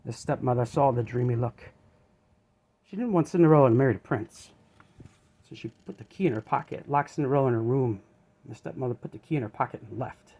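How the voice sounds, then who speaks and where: A man reading a children's story aloud as an expressive narrator. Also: medium pitch, fairly quick, muffled recording.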